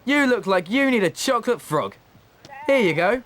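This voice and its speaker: A man's voice: high-pitched, big pitch swings, moderate pace, fairly bright tone, a little hiss or hum. A man voicing a playful, helpful teenage wizard brother in a video game. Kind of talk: video game character acting.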